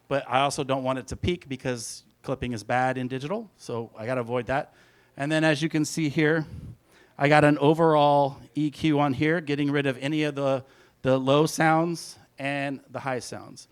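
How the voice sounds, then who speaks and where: A man's voice: medium pitch, clean tone. A man, a music producer, giving an informal, rambling hands-on presentation, talking through each step aloud as he goes. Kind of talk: casual hands-on audio production talk.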